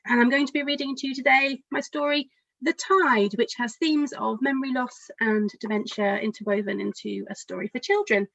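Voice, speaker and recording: A woman's voice: sits high, steady tone, moderate pace, creaky, a bit bright, no room sound, highs cut off. A woman children's author reading a picture book aloud expressively, speaking directly to young listeners.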